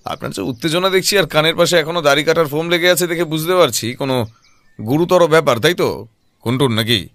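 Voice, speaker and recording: A man playing a calm, sharp-eyed private detective in an audio drama, speaking in a composed, conversational way. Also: fairly high pitch, a little fast, no echo. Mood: observant. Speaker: voice actor in an audio story.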